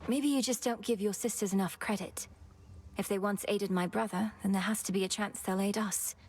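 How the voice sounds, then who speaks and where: An actress playing a determined, solemn young witch princess in a video game, speaking in an earnest, formal, measured way. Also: medium pitch, steady tone, a little mellow, slightly rough, lightly acted. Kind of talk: video game character dialogue. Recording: music behind the voice.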